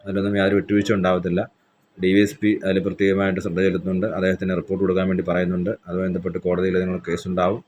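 A man speaking as a police station officer, making a formal announcement in a measured, official manner with hesitant fillers. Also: deep voice, monotone, a little mellow, a little hiss or hum.

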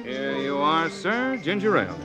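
Casting: obliging barman, animated higher-pitched delivery, 1940s radio style under prominent music. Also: normal speed. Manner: obliging, servile. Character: courteous, slightly puzzled male bartender.

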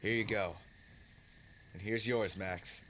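An actor playing a gruff, protective young villager with a blunt, brusque way of talking, in an audio drama. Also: male voice, lowish voice, fairly even intonation, takes it slowly, sharp tone, slight background noise, poor audio. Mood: gruff, casual.

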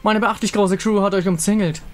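A man's voice: high voice, fairly even intonation, a little fast, voice pushed up, close to an everyday voice. A man voicing a smug, commanding female pirate captain in a theatrical, taunting way for a game story. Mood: smug, threatening. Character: menacing, commanding female pirate captain, smugly self-assured.

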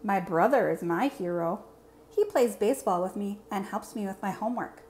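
A woman reading a picture book aloud to children in an expressive teacher's style. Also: medium pitch, moderate pace. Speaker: read-aloud presenter, teacher. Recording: clear background room noise.

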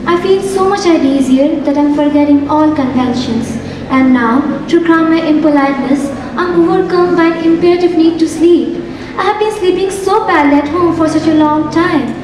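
A young woman acting in a stage play as a weary young woman artist, gentle and trusting, with a soft, dreamy delivery. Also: very high-pitched, normal speed, noisy background. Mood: weary, grateful. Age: young adult. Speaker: student actor in a stage play. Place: large theatre stage. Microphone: far camera in the hall. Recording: echoey hall from far off, music behind.

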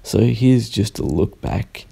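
A young man, sounding croaky and tired, rambling through a casual unboxing voice-over at home and correcting himself as he goes. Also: lowish voice, expressive, warm tone. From Australia. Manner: rambling, self-correcting, unhurried. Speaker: sticker artist vlogger.

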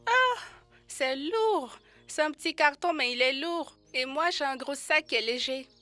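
A woman's voice: high-pitched, lively intonation, a little slow, sharp tone, dry recording, faint music behind, heavily acted. A woman voicing a friendly, playful baby elephant in a children's cartoon, speaking eagerly in simple phrases.

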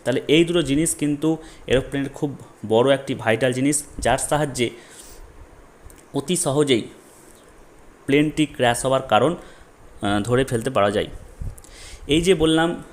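A man's voice: mid-range voice, moderate pace, pauses often. A man presenting an explanatory lesson, emphatic and repetitive, mixing in English technical terms. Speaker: educational presenter. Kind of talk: explanatory lesson for online viewers.

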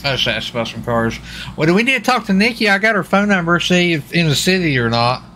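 A man's voice: fairly high pitch, sing-song, quick pace, sharp tone, a little hiss or hum. A man chatting casually in character during an online role-play game, over voice chat. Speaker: role-play gamer playing law enforcement.

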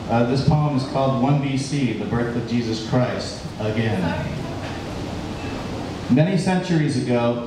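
A middle-aged man reciting poetry live, with deliberate, dramatic phrasing broken into short bursts. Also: medium pitch, recorded from a distance. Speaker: spoken-word poet. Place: small indoor stage at a live venue. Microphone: handheld microphone through PA, filmed from audience. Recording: echoey live venue PA with noise.

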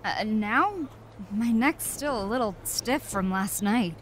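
A woman's voice: on the high side, expressive, takes it slowly, slight background noise. A woman voicing a confident young female rookie wrestler who talks coolly. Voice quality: confident, cool.

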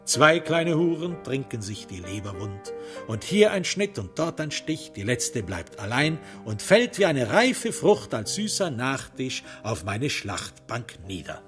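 A man playing a taunting serial killer who recites a macabre counting rhyme, deliberately and with drawn-out stresses, in a crime radio play. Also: mid-range voice, big pitch swings, fairly bright tone, soft background music.

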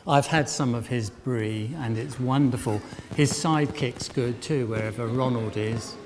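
A man's voice: mid-range voice, a little slow, a little mellow, slight background noise. An older man leading a church service, joking and teasing as he interviews members on stage with a handheld mic. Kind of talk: leading an all-age service. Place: large church hall. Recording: reverberant church hall.

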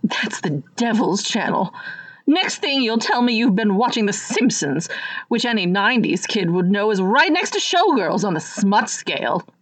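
A woman's voice: mid-range voice, sing-song, moderate pace, low-quality recording. A woman playing a prim, disapproving sitcom mother, scolding melodramatically in a comic radio play.

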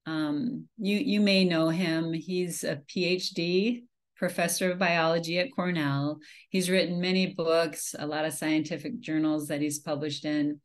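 A woman's voice: low voice, steady tone, measured pace. A woman from Minnesota giving a slide presentation on a video call, talking casually with many fillers and restarts. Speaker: beekeeping presenter. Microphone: webcam on a video call.

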